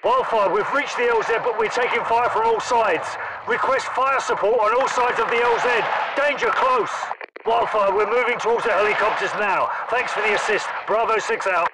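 An actor playing a gruff, commanding British special forces captain in a video game, giving terse, urgent combat orders. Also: male voice, sits high, fairly even intonation, moderate pace, far from the mic, heavy background noise, close to an everyday voice. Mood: urgent radio calls. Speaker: military squad leader in a video game. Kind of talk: video game battle chatter.

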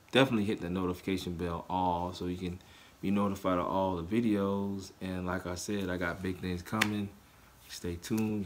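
A man in his forties talking loosely to camera in a casual vlog from his living room, full of fillers. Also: sits low. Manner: loose and rambling, many fillers. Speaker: vlogger. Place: living room with couch, indoors.

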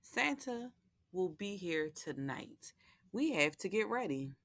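A woman's voice: on the low side, sing-song, takes it slowly, fairly bright tone, no room sound, full character voice. A woman voicing a busy, overwhelmed animal mother in a storybook, harried and sighing.

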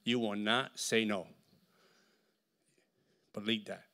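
A middle-aged man with a Puerto Rican accent preaching a sermon with a handheld mic in a large church hall, swinging between shouts and near-whispers. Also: lowish voice, fairly even intonation, slow pace. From Puerto Rico. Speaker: guest preacher.

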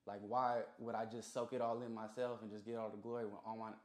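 Low-voiced young Los Angeles man, roomy sound. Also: little pitch movement, fast, a little mellow. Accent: American, Los Angeles. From Los Angeles, USA. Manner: slangy, conversational storytelling. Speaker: interview guest, rapper. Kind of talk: casual chat. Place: small music studio room. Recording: roomy indoor echo.

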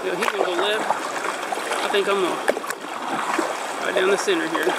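A man's voice: sits high, fairly even intonation, creaky. A man filming his own kayak trip, chatting casually and chuckling with fellow paddlers as he paddles down a river. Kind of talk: casual on-the-water commentary. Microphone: action camera on the kayak bow. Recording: loud rushing water and wind noise. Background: rushing river water.

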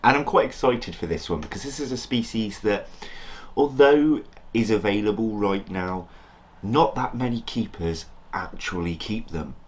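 A man in his thirties with a croaky sore-throat voice, chatting casually and enthusiastically as host of an insect-keeping vlog, filmed at his desk in a room of enclosures. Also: fairly low pitch, expressive, normal speed. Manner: chatty, enthusiastic, self-deprecating. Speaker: channel host, insect keeper.

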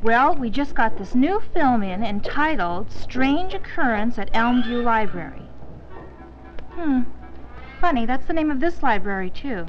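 An actress playing a pleasant, gently wry librarian in an educational film, attentive as she speaks. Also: fairly high pitch, sing-song, a little slow. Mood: brisk, helpful. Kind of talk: 1980s educational film acting. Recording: muffled 1980s 16mm film soundtrack, faint music.

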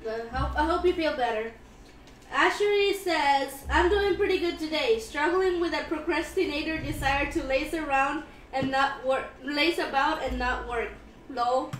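A woman's voice: sits high, normal speed, fairly bright tone, slight background noise. A giggly woman co-hosting a casual live stream, reading out and answering viewer comments, recorded in a small room. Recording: roomy, distant mic. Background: faint music.

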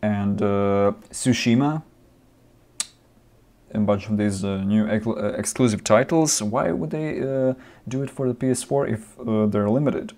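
A young man with a lowish voice rants about games into his webcam. He's not a native English speaker and keeps restarting his sentences and repeating points. The sound is close and plain, from a small room. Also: unhurried, dark tone. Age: young adult. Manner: ranting, repetitive, many restarts and fillers. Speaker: gaming vlogger. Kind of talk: casual opinion vlog. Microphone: webcam at desk, close framing.

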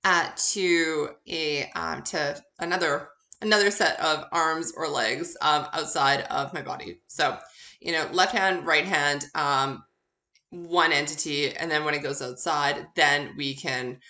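Bright-voiced woman lecturing in a roomy space. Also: deep voice, measured pace. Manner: conversational, poses questions and answers them. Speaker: university accounting instructor. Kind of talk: informal recorded lecture, explanatory.

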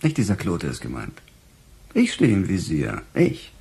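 An actor playing a weary, middle-aged police chief in a radio crime drama, musing in a husky, sardonic, halting way. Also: male voice, sits low, huge pitch swings, dark tone, slight background noise, heavily acted. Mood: brooding, agitated. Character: weary, embattled male police chief, brooding and gruff. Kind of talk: naturalistic German radio crime drama acting.

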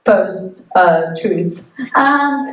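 A woman interpreting a remote talk in the first person, measured and careful, with pauses between phrases, heard over a video call. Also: mid-range voice, expressive. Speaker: interpreter voicing a remote speaker. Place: remote feed played into a lecture room. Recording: video-call audio heard far off in an echoey room.

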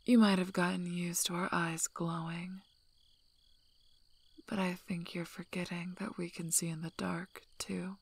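A tough female werewolf alpha, acted by a woman in an ASMR roleplay, speaking softly in a husky, breathy near-whisper. She is slow and gravelly, dryly teasing and gruffly protective. The tone stays very level. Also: on the low side, little pitch movement, heavily acted. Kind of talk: soft-spoken ASMR roleplay.

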